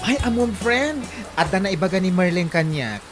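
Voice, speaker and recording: A woman's voice: fairly low pitch, expressive, normal speed, over loud music, lightly acted. A woman acting a gushing, gossipy village best friend in an Ilocano comedy drama, with an Ilocano accent.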